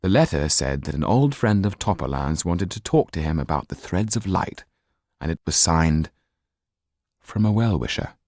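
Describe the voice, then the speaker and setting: A man narrating a novel in a measured, scripted storytelling manner. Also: rumbling low, lively intonation, darkish tone, no room sound. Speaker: audiobook narrator.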